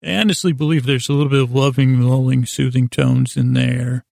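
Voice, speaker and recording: Very creaky, rambling male bedtime podcaster. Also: mid-range voice, fairly even intonation, fairly quick, darkish tone, dry recording, studio quality. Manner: hesitant, meandering, stumbles over words, drifts into tangents. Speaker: podcast host. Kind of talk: rambling bedtime podcast monologue, meant to lull listeners to sleep. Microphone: close studio mic.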